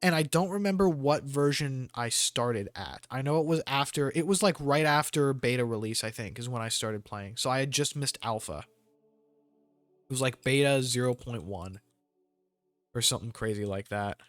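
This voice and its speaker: A man's voice: mid-range voice, normal speed, fairly bright tone, no room sound. A man giving casual, rambling gameplay commentary with jokey slang. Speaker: gamer hosting a let's play.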